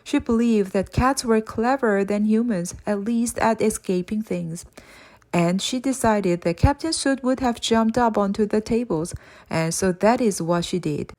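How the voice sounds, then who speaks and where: Woman, audiobook narrator, scripted children's storytelling, medium-pitched voice. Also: a little slow, darkish tone. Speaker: audiobook reader.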